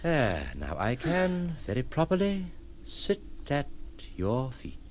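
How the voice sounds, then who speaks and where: Wry gentleman recluse, animated in pitch. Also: slow, a little hiss or hum. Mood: playful, courtly. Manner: eloquent, formal, ironic phrasing. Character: wry, detached gentleman recluse, playfully philosophical and world-weary. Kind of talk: audio drama acting.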